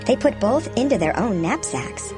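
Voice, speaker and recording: Woman narrating a fairy tale, lively intonation. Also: a little high, normal speed. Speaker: storyteller. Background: background music.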